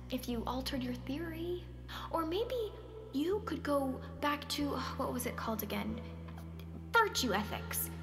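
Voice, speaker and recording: High-voiced, coaxing, persistent woman played by an actress. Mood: worried, nudging. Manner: coaxing, probing, hesitant at times. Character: Sonia, caring, persistent woman nudging a withdrawn man. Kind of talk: naturalistic stage-play dialogue. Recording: roomy sound with music behind.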